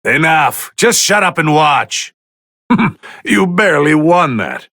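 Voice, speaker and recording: A man's voice: a little high, big pitch swings, takes it slowly, bright, forceful tone, no room sound, studio quality, full character voice. A man voicing a haughty, easily bored god of destruction who comments on fights in a video game with condescending, refined, theatrical drawl. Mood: irritated, scornful. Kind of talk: English video game voice lines, match commentary.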